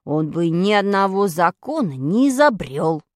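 A woman voicing a serious, bossy schoolboy in a children's story, speaking deliberately and emphatically. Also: on the low side, big pitch swings, dry recording, studio quality, pitched below the performer's own voice. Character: slow, serious, assertive schoolboy, bossy friend.

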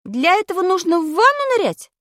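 A woman's voice: very high voice, big pitch swings, brisk, a bit bright, dry recording, clean studio sound, voice pushed up, heavily acted. A woman voicing an eager, doubting schoolboy in a children's story, full of exclamations and questions. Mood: curious, doubtful.

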